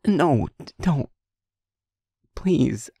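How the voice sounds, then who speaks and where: A man acting a guilt-ridden, tender-hearted young man in an intimate audio drama, with a breathy, husky voice and a halting, stammering, earnest delivery. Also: on the high side, lively intonation, warm tone, no room sound, clean studio sound, heavily acted. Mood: distraught, self-blaming. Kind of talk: intimate audio roleplay drama, emotional monologue.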